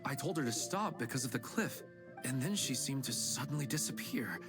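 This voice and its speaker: A man voicing an earnest husband missing his wife in a game, wistful and unhurried. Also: medium pitch, animated, lightly acted. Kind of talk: game voice acting. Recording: game audio over loud music.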